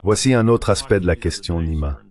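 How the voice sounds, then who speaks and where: Really deep guy voice, measured political commentary, translated voiceover. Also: expressive, a crowd in the background. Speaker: panel commentator.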